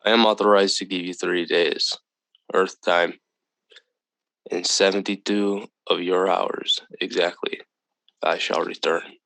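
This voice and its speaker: A man acting as a calm, condescending alien visitor in a radio drama, speaking in formal, measured phrases. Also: low voice, creaky, no echo, exaggerated voice. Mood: coolly menacing. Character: calm, superior alien visitor from another planet.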